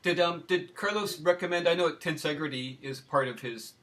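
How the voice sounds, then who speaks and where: Thoughtful, halting male interviewer. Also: fairly high pitch, fairly bright tone. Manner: halting, searching for words, thoughtful. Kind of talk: conversational interviewing.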